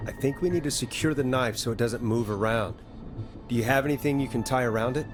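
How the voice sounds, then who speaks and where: An actor playing a composed, caring late-night radio host in a video game, speaking in a steady, conversational way. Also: male voice, medium pitch, fast, music in the background, lightly acted. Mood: calm, instructive. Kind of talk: natural video game voice acting.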